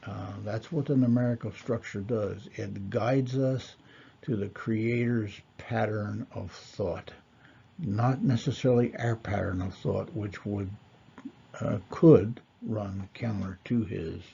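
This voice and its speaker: A man giving a slow, measured Torah lesson, pausing often with fillers. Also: on the low side, fairly even intonation, vocal fry, dark tone, slightly rough, highs cut off. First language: not Hebrew. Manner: measured, deliberate, with fillers and pauses. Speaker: Torah teacher. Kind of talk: slow lecture.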